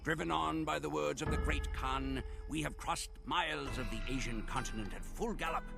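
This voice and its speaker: Ruthless Mongol horde warrior, creaky gravelly voice, grave dramatic game narration, relish in conquest, music behind. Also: male voice, medium pitch. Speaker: campaign narrator. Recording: game cutscene voice over music.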